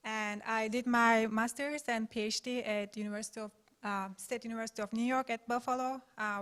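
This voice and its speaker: A woman from Turkey, a postdoctoral researcher, giving a conference talk in a measured, careful way with frequent pauses. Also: fairly high pitch, fairly bright tone. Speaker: invited speaker, postdoctoral researcher. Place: meetup room.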